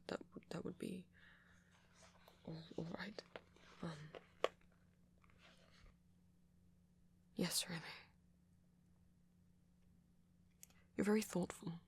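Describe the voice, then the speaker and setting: A man voicing a shy, gentle vampire boyfriend in an ASMR romance roleplay, stammering hesitantly in a soft, breathy voice. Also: on the high side, slight background noise. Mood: bashful, grateful.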